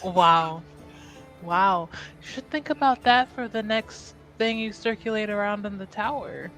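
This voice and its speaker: Woman player, slow and halting delivery, dry asides. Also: mid-range voice, fairly bright tone, faint music behind. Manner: hesitant, filler-heavy, wry. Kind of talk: tabletop roleplay chat.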